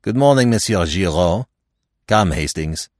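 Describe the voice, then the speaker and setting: A man plays a shrewd Belgian detective in an audiobook. He is courteous and precise, with calm confidence and a very mobile pitch, recorded dry. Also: measured pace, no room sound. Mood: teasing, assured.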